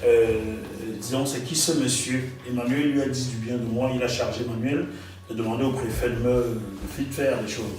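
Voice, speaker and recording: A man tells his own story as an interview guest, animated and fond of repeating himself for weight. He sits at a distance from the mic in a very echoey room, with faint music underneath. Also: mid-range voice, moderate pace, slight background noise. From Cameroon. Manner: animated, repeats phrases for emphasis. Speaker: guest author.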